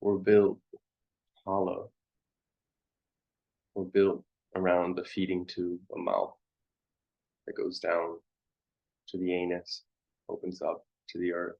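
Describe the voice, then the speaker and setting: A man guides a meditation in a low voice, very slowly and with hardly any melody. He is contemplative, offering short halting phrases with long pauses between them. The recording is dull and lo-fi. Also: monotone, creaky. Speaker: meditation teacher.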